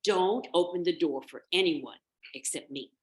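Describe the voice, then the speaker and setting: A woman's voice: lowish voice, normal speed, darkish tone, lightly acted. A woman voicing a careful, motherly nanny goat in a storybook tale, instructing in a singsong way. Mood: fond instructing.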